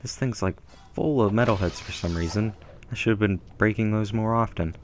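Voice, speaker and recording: A man's voice: on the low side, brisk, faint music behind. A young man giving casual, rambling commentary over a video game through a headset microphone, full of ums and asides. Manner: rambling, filler-heavy, self-deprecating. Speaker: gameplay commentator. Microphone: gaming headset mic.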